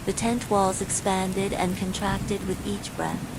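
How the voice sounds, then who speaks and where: A woman's voice: mid-range voice, slow pace, creaky. A woman reading a scripted horror bedtime story, with falling rain behind her. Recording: steady rain noise throughout.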